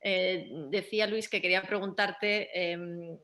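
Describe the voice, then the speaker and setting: A woman's voice: lowish voice, steady tone, moderate pace, sharp tone. A woman hosting a bilingual Q&A, putting questions in polite, careful phrasing. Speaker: host, moderator. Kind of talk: moderating a bilingual Q&A.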